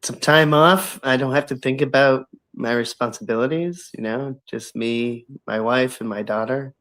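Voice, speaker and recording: A man as a guest in a casual video call chat, relaxed and supportive, laughing easily and fighting a cough. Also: medium pitch, normal speed, rough recording.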